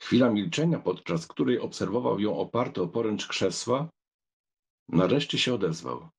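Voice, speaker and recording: A man's voice: on the low side, sing-song, normal speed, vocal fry, husky. A man narrating a literary audiobook from a script, as a storyteller.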